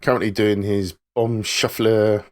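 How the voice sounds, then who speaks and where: A man with a fairly low voice chats casually as a podcast co-host. He recommends things with easygoing enthusiasm. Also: level tone, measured pace.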